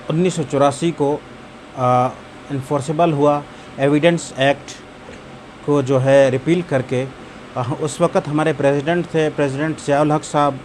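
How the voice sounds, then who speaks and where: A man's voice: fairly high pitch, slight background noise. A man with a Pakistani accent delivering an explanatory law lecture, mixing in English terms and repeating key points with rhetorical questions.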